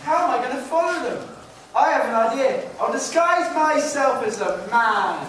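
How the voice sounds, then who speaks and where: A woman's voice: high voice, lively intonation, normal speed. A woman playing a plucky, scheming pantomime heroine, exclaiming asides to the audience. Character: plucky young heroine plotting a disguise as a man. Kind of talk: pantomime stage acting. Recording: echoey hall, distant mic, background hiss.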